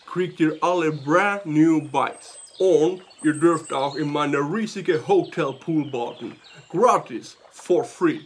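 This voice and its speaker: Slick american tycoon, swiss german w english bits, slow drawn out, highish, kids radio play. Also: male voice. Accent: American-accented Swiss German. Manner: smarmy, mixes in English words. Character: slick American businessman sweet-talking village children. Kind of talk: children's audio drama acting.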